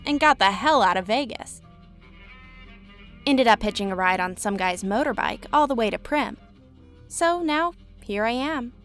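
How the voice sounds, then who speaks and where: A woman's voice: mid-range voice, a bit bright. An actress voicing a broke, cheeky young woman gambler in a game, chirpy and self-mocking. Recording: game audio with faint music.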